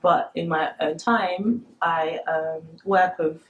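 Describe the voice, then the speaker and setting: A woman's voice: fairly low pitch, fairly even intonation, slow, lo-fi sound, a little hiss or hum. A woman in her twenties from the Democratic Republic of Congo, a native Lingala speaker, speaking hesitantly and reflectively as an interview guest. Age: 20s. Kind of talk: conversational interview, personal recollection.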